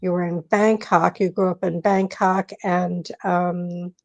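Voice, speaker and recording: A woman's voice: fairly low pitch, level tone, takes it slowly, vocal fry, a little mellow, muffled recording. A woman hosting an interview in a chatty way, reading out her guest's background from notes. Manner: chatty, leafs through notes. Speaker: host. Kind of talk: interview host.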